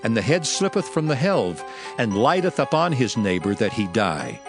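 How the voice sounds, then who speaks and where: Expressive, solemn male Bible narrator over music. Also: mid-range voice, normal speed. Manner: solemn, declamatory. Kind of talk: dramatized scripture narration.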